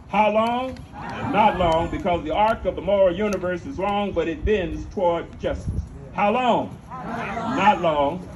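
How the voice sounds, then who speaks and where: A man declaiming to a gathering in rhythmic, emphatic, preacher-like oratory. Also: high voice. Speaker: speaker addressing a crowd. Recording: noisy crowd at live event.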